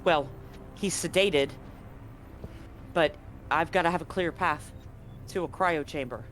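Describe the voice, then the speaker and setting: Man playing an anxious, hesitant doctor, higher-pitched. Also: measured pace, fairly bright tone, soft background music. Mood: urgent, insistent. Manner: hesitant, explaining clinically. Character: anxious, grave male doctor at a remote medical facility.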